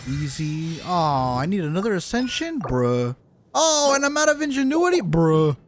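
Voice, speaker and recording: Animated high-pitched man streaming a game. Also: normal speed, background noise. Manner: slangy, animated, swearing, teasing. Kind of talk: casual chat with viewers during gameplay. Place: live stream at a gaming setup.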